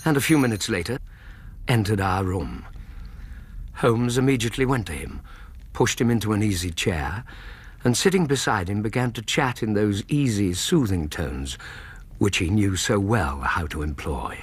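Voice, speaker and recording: Radio drama narrator: husky English Victorian gentleman, measured and literary, very varied intonation. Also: fairly low pitch, expressive, no echo. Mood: measured, vivid.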